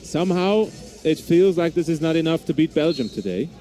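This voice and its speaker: Man, Swiss German accent, basketball play-by-play, slightly high voice, hesitant with many uhs, faint music behind. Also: animated, brisk, dark tone. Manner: hesitant, frequent uhs and restarts. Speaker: basketball commentator. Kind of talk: live play-by-play commentary.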